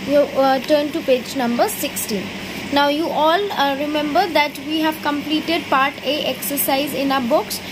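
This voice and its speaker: A woman with an Indian English accent teaching a grammar lesson to young children. She explains slowly and drops into quick Hindi asides. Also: sits high, background noise. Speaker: school teacher.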